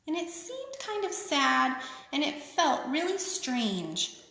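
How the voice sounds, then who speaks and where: A woman reading a picture book aloud to children, with expressive, simple phrasing and chatty asides. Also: high-pitched, slow. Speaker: children's author reading her own book. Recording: echoey, reverberant room.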